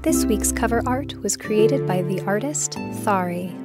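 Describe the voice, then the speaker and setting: A woman's voice: medium pitch, lively intonation, unhurried. A woman reading a scripted introduction as the announcer of an audio drama podcast. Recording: loud music under the voice.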